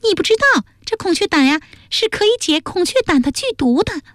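Excitable, high-pitched chattering young woman. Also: fairly quick, no room sound, studio quality. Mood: insistent. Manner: eager, animated, exclamatory. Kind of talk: audiobook character voice.

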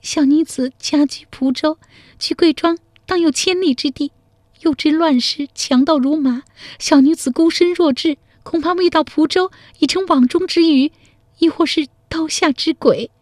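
A woman putting on a high, soft young maiden. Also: normal speed, dry recording, clean studio sound, close to an everyday voice. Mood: tearful worried. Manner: pleading, soft and lilting. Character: young woman, timid yet quick-witted and grateful. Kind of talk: storytelling radio serial.

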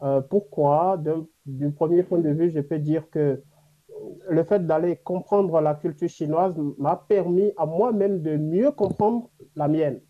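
A man from Africa whose first language is a Gbe language, answering interview questions reflectively with many pauses and fillers. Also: on the high side, narrow, tinny audio. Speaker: guest.

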